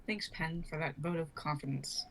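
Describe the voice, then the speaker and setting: A woman's voice: sits low, fairly even intonation, normal speed, creaky, low-quality recording. A woman voicing a level-headed, firm young crash survivor in an amateur dramatic table reading. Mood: serious.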